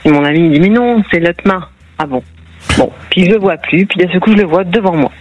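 A woman's voice: lowish voice, fast. Chatty woman from northern France, muffled line. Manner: chatty, tells story with quoted dialogue. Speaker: caller. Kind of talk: phone-in storytelling. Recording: muffled phone-in line on radio.

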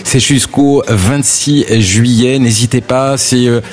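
A man's voice: medium pitch, steady tone, slow pace, darkish tone. A man hosting a live radio chat show, easygoing and a little hesitant, joking with his guests.

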